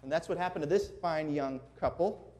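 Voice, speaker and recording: Minnesotan-accented male guest preacher, warm-hearted sermon and storytelling, slightly higher register. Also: brisk. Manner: earnest, friendly, chuckling asides. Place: church sanctuary before a congregation.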